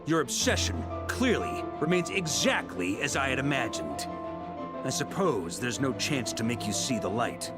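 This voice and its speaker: A resolute knight hero, voiced by a man, earnest and defiant toward his foe. His voice swings widely in pitch, climbing high when he calls out with passion. A lead figure from a story-driven video game. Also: very animated, normal speed, over loud music, natural voice.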